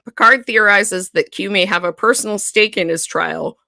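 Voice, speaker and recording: A woman co-hosting a podcast, chatting casually about a TV series, animated and laughing often. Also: mid-range voice, moderate pace, vocal fry, no echo. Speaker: podcast co-host. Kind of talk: casual fan discussion.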